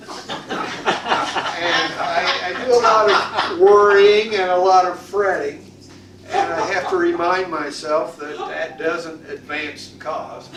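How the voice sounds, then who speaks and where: An older man speaking at a club meeting, slow and thoughtful, with self-mocking humor. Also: a little high. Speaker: club member answering questions. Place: meeting room. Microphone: far camera. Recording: echoey room, far off, background noise.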